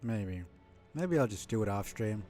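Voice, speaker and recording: A man with a low voice giving casual, informal live commentary as a video game streamer, thinking out loud. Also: lively intonation, moderate pace. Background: game music behind the voice.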